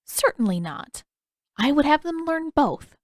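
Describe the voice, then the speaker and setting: A woman voicing a kindly older healer in an audiobook story, with a measured, patient and gently questioning way of speaking. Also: high-pitched, big pitch swings, dry recording, clean studio sound, pitched above the performer's own voice. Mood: gently amused.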